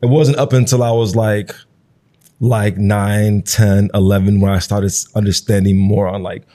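A man's voice: fairly low pitch, level tone, normal speed, warm tone. A Nigerian-born man in his thirties, Igbo his first language, talking in an interview in a loose, reflective way full of "like" and "you know". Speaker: guest. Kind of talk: conversational interview.

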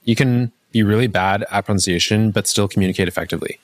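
A man's voice: on the low side, no echo. Dry-voiced man explaining at length. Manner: measured, explanatory, frequent "like" fillers. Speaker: language learning app founder. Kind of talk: podcast interview answers.

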